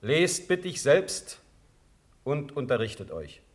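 A man's voice: medium pitch, very animated, takes it slowly. An actor playing a stern, proud male sovereign in a classical radio drama, with a commanding, formal declamation. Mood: formal, composed.

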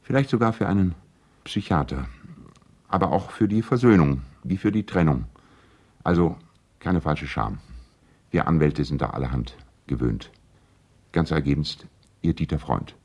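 Very deep, formal male lawyer. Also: expressive, darkish tone, full character voice. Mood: earnest, formal. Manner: formal, measured, legalistic letter diction. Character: courteous, earnest male lawyer and concerned family friend. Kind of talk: radio play acting, reading out letters.

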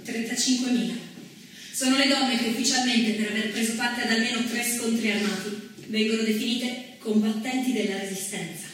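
An actress plays an impassioned female narrator with a high, bright voice. She declaims theatrical history with dramatic pauses. The sound is distant and echoey, as from a stage. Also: fairly even intonation, natural voice. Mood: grave, measured. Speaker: stage actress in a two-woman theatre play. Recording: echoey stage, distant mic, faint noise.

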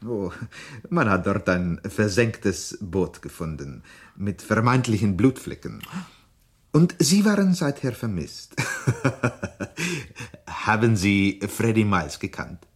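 An inquisitive man in a radio crime drama, questioning deliberately. His voice is husky and his pitch swings widely. He takes his time over the words. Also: lively intonation, full character voice. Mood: probing. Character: probing, unhurried man questioning someone in a crime story.

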